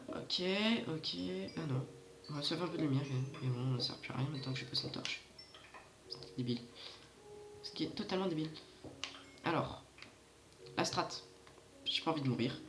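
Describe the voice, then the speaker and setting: A man's voice: a little high, roomy sound. Rambling gamer guy with a stuffy nose. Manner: rambling, chatty, talks straight to viewers. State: stuffy nose from hay fever. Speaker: gaming channel host. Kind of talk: casual let's-play commentary.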